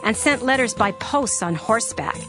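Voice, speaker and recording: A woman narrating scripture in a steady, formal storytelling cadence. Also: fairly high pitch, normal speed. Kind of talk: dramatized scripture reading. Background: soft music.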